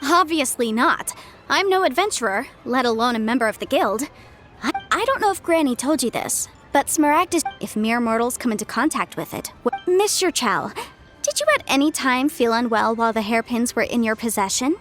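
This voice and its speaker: A woman's voice: fairly high pitch, expressive, moderate pace, a bit bright. A young woman voicing a legal adviser character in a game cutscene, speaking in a composed, precise, businesslike way. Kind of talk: video game cutscene voice acting. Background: faint game music.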